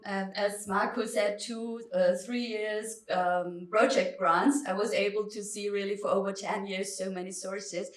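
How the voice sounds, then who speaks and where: Woman historian giving a talk, stop-start and emphatic, roomy echo, mic kinda far off. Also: medium pitch. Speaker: academic lecturer, historian. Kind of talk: public academic lecture, semi-spontaneous. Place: indoor lecture room. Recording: echoey room, distant microphone.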